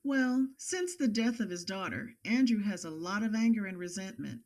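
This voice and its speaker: A woman playing a guarded professional psychologist testifying in a courtroom drama, formal and measured. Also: mid-range voice, expressive, darkish tone, close to an everyday voice. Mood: grave, composed. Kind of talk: audio drama acting.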